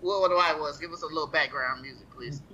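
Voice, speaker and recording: A man hosting a raunchy comedy podcast, telling stories loudly with animated impressions. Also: fairly high pitch, quick pace, dull audio, very noisy. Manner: loud, animated storytelling with voiced impressions. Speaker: podcast host. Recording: music playing under the talk.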